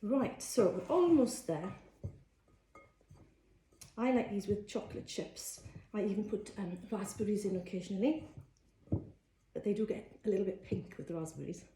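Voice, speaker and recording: A woman's voice: mid-range voice, lively intonation, moderate pace, pauses often, warm tone, a little hiss or hum. A woman with a Welsh accent giving a homely, chatty cooking demonstration, explaining each step as she works in her kitchen. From Wales. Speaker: cookery teacher. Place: kitchen, indoors. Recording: echoey kitchen with faint clatter.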